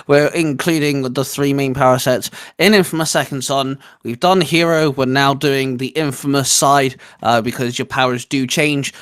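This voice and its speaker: A man speaks as the host of a gaming video, in a casual commentary style. His pitch sits in an ordinary middle range for a man, and he talks conversationally. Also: fairly bright tone, clean tone. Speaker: host of a video game ranking show.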